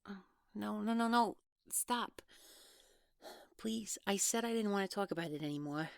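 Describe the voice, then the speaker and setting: A dry, intimate recording of an actress as a guarded young woman in an audio drama. She talks in a natural, conversational register at a medium pitch. Her delivery is halting and a little awkward, quick to turn defensive. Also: vocal fry, no room sound, close to an everyday voice. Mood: agitated, defensive. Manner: hesitant, halting, trailing off, nervous laughs. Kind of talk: naturalistic one-sided audio roleplay acting.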